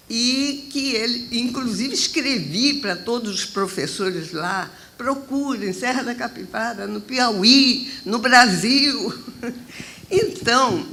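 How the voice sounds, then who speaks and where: A woman's voice: medium pitch, a bit bright, a little hiss or hum. A woman from southern Brazil in the audience, telling an enthusiastic travel story during a public panel Q&A. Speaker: audience member.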